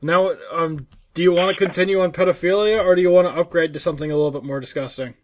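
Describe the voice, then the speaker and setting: An American man co-hosting a casual talk show, bantering in a halting way and often repeating words as he searches for them. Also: fairly high pitch, fairly even intonation. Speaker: podcast co-host.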